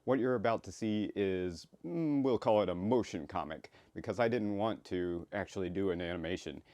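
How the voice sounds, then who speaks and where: A man introducing his own work in casual, rambling commentary, with a halting delivery. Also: low-pitched, a little mellow, no room sound. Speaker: creator introducing his comic.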